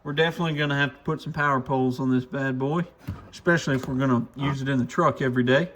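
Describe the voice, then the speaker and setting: A man's voice: medium pitch, fairly even intonation, fast talker, fairly bright tone, slight background noise. A man hosting a casual hands-on product demo, thinking aloud informally as he tinkers. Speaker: radio shop reviewer and video host.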